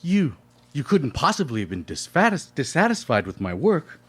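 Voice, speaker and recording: Grandiloquent painter for radio drama, male actor, effusive, raised pitch, very animated. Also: normal speed, slightly rough, full character voice. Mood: flattering, unsettled. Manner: pompous, flowery, gushing. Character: pompous, effusive male painter with florid speech. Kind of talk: live radio play acting.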